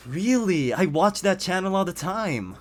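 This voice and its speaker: An actor playing a supportive, excitable young friend in an ASMR roleplay. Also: mid-range voice, takes it slowly. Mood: excited.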